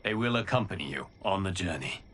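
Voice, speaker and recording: Deep-voiced, solemn guardian god. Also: male voice, expressive, fairly bright tone, a little hiss or hum. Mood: calm, resolute. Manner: measured, solemn, formal. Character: calm, wise, godlike protector of realms. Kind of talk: dramatic video game acting.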